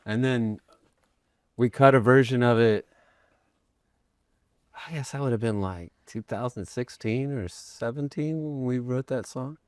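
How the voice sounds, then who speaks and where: A man's voice: medium pitch, unhurried, pauses often, darkish tone, no room sound. A man from Texas, a guest musician chatting casually in a podcast studio, rambling and self-deprecating with many fillers and restarts. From Texas, USA. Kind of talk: casual interview chat.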